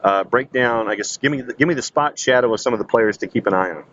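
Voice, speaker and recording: A man's voice: medium pitch, fast, fairly bright tone. A man hosting a sports radio show, putting questions to his guest in a conversational interview style. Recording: dull lo-fi sound with faint noise.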